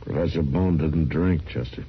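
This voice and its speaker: A man playing a steady, world-weary frontier marshal in an old-time radio western, sombre and plain-spoken. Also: very deep voice, moderate pace, a little hiss or hum. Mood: grim, weary. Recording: dull old radio recording.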